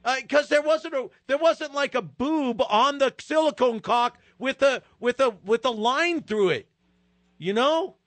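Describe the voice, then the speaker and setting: High, lively talk-radio host ranting. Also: male voice, a little slow, dry recording. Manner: animated, incredulous ranting. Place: radio studio. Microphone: studio microphone.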